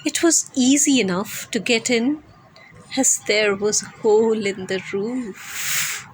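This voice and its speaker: Very slow, expressive woman storyteller. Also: fairly high pitch, slight background noise, rough recording. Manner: deliberate, expressive, with long pauses. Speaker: amateur storyteller. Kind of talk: dramatised story narration.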